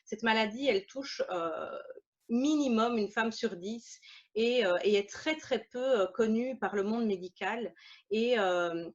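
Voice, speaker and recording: Halting woman, dull lo-fi sound. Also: on the high side. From Belgium. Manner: halting, hesitant, searching for words. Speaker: interview guest, women's energy healer and coach. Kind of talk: personal testimony in an informal interview.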